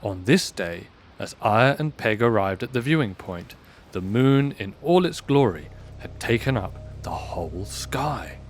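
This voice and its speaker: A man's voice: lowish voice, animated, a little slow, a little hiss or hum. A man reading scripted story narration as the narrator of an audio drama.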